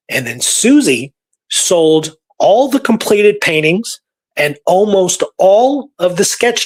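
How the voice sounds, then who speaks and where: Slightly high-voiced man telling history casually. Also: slightly rough, rough recording. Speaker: podcast history presenter.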